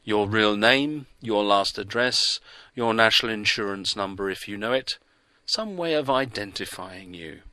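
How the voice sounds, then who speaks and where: A man's voice: fairly low pitch, a little slow, sharp tone, clear, resonant tone, dry recording. A man reading the part of a blunt, insistent male hostel worker who presses with clipped questions. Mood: stern.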